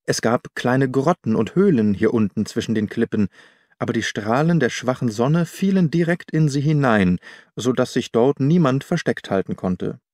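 A man's voice: medium pitch, expressive, normal speed, darkish tone, no echo. Man narrating an audiobook, dry studio sound. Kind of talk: scripted literary narration, first-person detective story.

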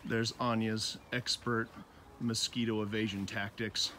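A man's voice: on the low side, level tone, measured pace, pushed and bright, slight background noise. A man in his thirties on an outdoor nature trail, chatty and enthusiastic as he points out plants, recorded on the camera's mic. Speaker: gardening vlogger. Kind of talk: walking nature vlog. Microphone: handheld camera framing, on-camera mic.

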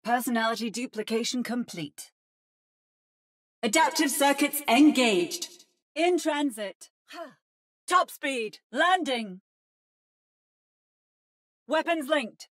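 An adaptive female robot from a video game, mimicking fighters' battle calls. The voice is high and unhurried. Delivery is precise, synthetic and theatrical. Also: animated. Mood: precise, robotic. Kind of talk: video game character voice lines.

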